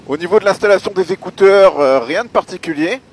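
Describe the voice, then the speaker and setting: A man giving a spoken gear review as a motovlogger, explaining things in a measured, hesitant way with pauses. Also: high-pitched, husky. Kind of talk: spoken product review while riding.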